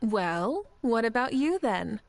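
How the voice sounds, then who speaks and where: A poised, confident woman leader from a video game. Her voice sits a little high, with composed, wry phrasing over faint music. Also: very animated, slow, heavily acted. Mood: amused, teasing.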